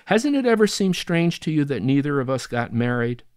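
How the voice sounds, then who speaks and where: A man's voice: fairly high pitch, very animated, normal speed, a little mellow, dry recording, studio quality, voice pushed up. A man reading an audiobook, voicing a wild, ironic woman who teases and speaks bluntly. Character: wild, ironic grown woman, sister-like cousin.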